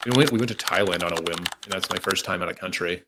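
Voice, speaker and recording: A man's voice: low-pitched, fast, very noisy. A young man on a podcast telling stories about himself, rambling with many fillers and poking fun at himself. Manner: rambling, lots of likes and dudes, self-mocking. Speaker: podcast co-host. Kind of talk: casual storytelling chat.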